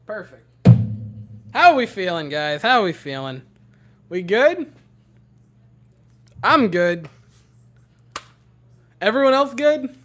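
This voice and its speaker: A young man with a hoarse, worn-out voice, rambling giddily to his livestream chat from home. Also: high voice, very animated, takes it slowly, pauses often, a bit bright, soft background music. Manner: giddy, rambling, talking to chat. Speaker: streamer.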